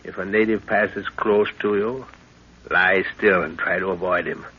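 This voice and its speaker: An actor playing a middle-aged, seasoned jungle adventurer, fatherly and commanding, with measured instructions in a 1930s radio drama. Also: deep voice, fairly even intonation, slightly rough. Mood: instructing. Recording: noisy dull 1930s radio recording.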